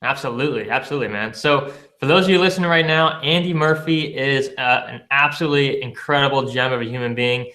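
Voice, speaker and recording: A man's voice: medium pitch, fast, hardly any pauses, pushed and bright. A young man hosting a podcast, easygoing and laid-back in casual interview talk. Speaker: podcast host.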